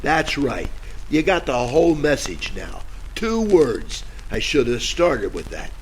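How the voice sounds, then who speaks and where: A man's voice: mid-range voice, big pitch swings, slow, a little hiss or hum, poor audio. A man playing a hard-luck, bumbling tough-guy detective with a wry, hard-boiled delivery in an old-time radio detective style. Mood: menacing. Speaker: narrator and lead character. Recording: music under the voice.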